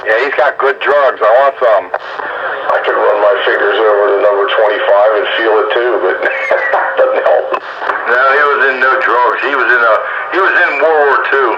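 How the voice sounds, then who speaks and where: A man talks over a muffled radio link with heavy noise around his voice. His pitch is average, and he chats casually in a joking, teasing way. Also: normal speed. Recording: muffled, very noisy radio transmission.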